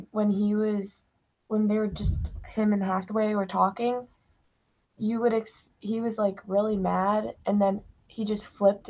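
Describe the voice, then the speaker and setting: A woman's voice: medium pitch, muffled recording. A teenage girl chatting casually as a podcast guest, peppering her talk with "like". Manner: uses "like" constantly. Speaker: student actor, podcast guest. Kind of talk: casual chat.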